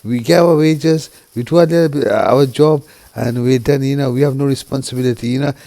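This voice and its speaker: A man from the UK talks quietly to his viewers in a soft, mellow, rather dark voice. He speaks haltingly, with frequent restarts and "you know" fillers, sounding subdued and heavy-hearted. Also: mid-range voice, creaky. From United Kingdom. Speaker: vlogger. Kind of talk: emotional personal monologue.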